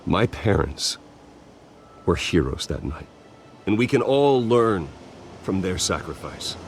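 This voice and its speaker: An American man playing a brooding billionaire heir in a video game, speaking to the press in a measured, halting, earnest way. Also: deep voice, very animated, slight background noise, exaggerated voice. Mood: solemn. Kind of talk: video game dramatic acting.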